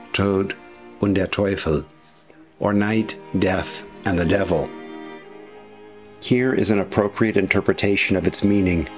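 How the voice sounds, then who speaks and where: A man reading scripted documentary narration with commentary in a measured, formal way. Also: sits low. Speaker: history video narrator.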